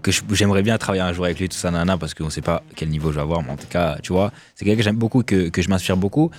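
A young man, a fashion designer, chatting casually in an interview, relaxed, often restarting and repeating himself. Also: low voice, very fast. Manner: relaxed, restarts a lot, peppers speech with "tu vois".

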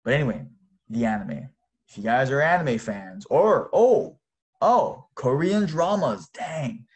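A man who grew up speaking Japanese hosts a casual solo chat in a mid-pitched voice. He talks to his listeners informally, dropping in slangy exclamations. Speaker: host.

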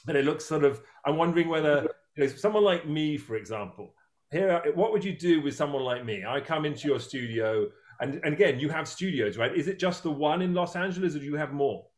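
A man's voice: on the high side, animated, a little fast, darkish tone. A man in his fifties hosting an interview, talking in a rambling, self-deprecating way with many restarts. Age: 50s. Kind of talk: casual interview chat.

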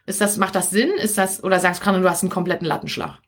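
A woman's voice: lowish voice, fast talker, breathy edge. A woman with a Berlin accent giving a live-streamed coaching talk, chatty and direct, often checking in with viewers. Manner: chatty and direct, tags points with "okay?". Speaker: business coach.

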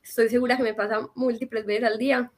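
A woman's voice: fairly high pitch, fast. Colombian woman telling her story. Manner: animated storytelling with fillers and restarts. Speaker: guest. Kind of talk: casual interview talk. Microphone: video call.

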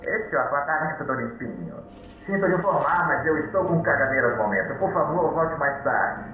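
A man voicing a deadpan computer door assistant through an echoing radio-like filter. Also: a little high, brisk. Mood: formal, deadpan. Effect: radio-like filter with heavy echo. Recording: tinny, very echoey, faint music.

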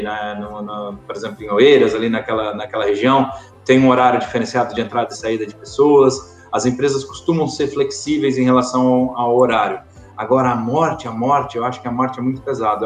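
A man with a Brazilian accent, a podcast guest talking casually, often pausing with fillers and restarting his sentences. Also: medium pitch, roomy sound. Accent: Brazilian Portuguese. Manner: hesitant, many fillers and restarts. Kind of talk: casual interview chat.

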